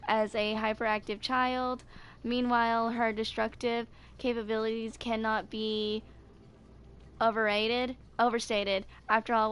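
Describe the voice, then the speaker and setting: A woman's voice: on the high side, smooth. A woman in her twenties chatting casually and reading video game lore aloud as a gaming creator. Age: 20s.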